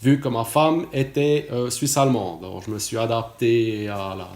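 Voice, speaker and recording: A man's voice: mid-range voice, steady tone, moderate pace, a little mellow, reverberant. A Swiss man in his late forties with a Swiss French accent, giving a casual testimonial, talking hesitantly with many fillers and restarts. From Switzerland. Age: 40s.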